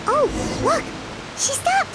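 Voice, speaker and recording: Tiny fairy sidekick, extremely high squeaky child voice, lively swooping delivery, excitable game character, music behind. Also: female voice, takes it slowly, exaggerated voice. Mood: urgent, excited. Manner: exclamatory, talks in third person. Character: tiny excitable childlike floating fairy companion, chatty and easily frightened. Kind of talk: animated video game voice acting.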